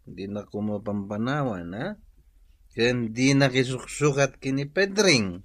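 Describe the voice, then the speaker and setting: Slow, slurring drunken young husband. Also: male voice, mid-range voice, animated, a bit bright, no echo, studio quality. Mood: tipsy, wheedling. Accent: Ilocano. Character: drunken, jealous young husband, poor and clingy. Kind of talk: Ilocano radio drama acting.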